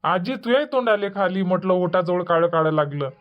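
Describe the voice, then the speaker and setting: A man's voice: high-pitched, animated, fairly quick. A man voicing a grumbling, lecturing old village husband in a cartoon comedy, with a Varhadi Marathi accent. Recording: dull lo-fi audio.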